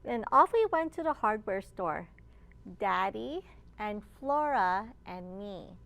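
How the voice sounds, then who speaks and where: A woman children's librarian reading aloud to young children in an encouraging storytime manner, pausing to ask simple questions. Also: medium pitch, lively intonation, creaky, a bit bright, dry recording. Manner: slow, encouraging, asks listeners simple questions.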